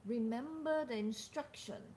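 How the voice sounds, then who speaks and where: A woman reading spiritual teachings aloud in a deliberate, emphatic way, sometimes dropping toward a breathy near-whisper. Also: fairly high pitch, warm tone, a little hiss or hum. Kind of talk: slow dramatic reading of spiritual dialogue with casual asides.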